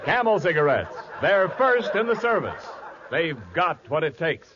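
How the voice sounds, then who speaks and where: A man's voice: fairly high pitch, sing-song, measured pace, a loud crowd. A man reading a 1940s radio advertisement as announcer, in a polished, emphatic sales delivery. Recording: muffled old radio broadcast.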